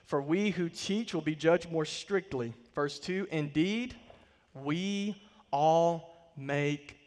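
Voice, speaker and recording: Man, 30s, pastor, slightly high voice, emphatic sermon delivery, big hall reverb. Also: takes it slowly. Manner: emphatic preaching, voice swelling and dropping to quiet line endings. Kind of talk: sermon with scripture reading. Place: large church stage, indoors.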